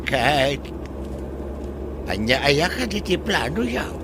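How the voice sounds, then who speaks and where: A man's voice: on the high side, sing-song, slow, noisy background. A man playing a timid old resort worker who stammers and hesitates before every reply. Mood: deferential. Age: older.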